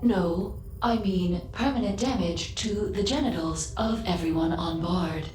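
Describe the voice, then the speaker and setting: Lady doing a polite robot girl from a game, deadpan, lowish voice, echoey with music. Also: measured pace, slight background noise, lightly acted. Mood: formal deadpan. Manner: formal, precise, deadpan. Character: polite, literal-minded female android crew member. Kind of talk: scripted video game dialogue. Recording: roomy echo, music behind.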